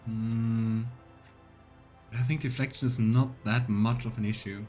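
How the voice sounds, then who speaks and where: Male game streamer, casual and musing, reads text aloud, medium pitch, music underneath. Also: fairly even intonation, unhurried, a little mellow. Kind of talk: casual let's play commentary. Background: video game music.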